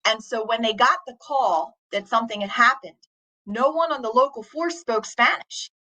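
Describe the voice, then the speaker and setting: A woman teacher and author, a guest on an interview show, telling a story in an earnest, steady, reflective way. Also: fairly high pitch, normal speed, poor audio.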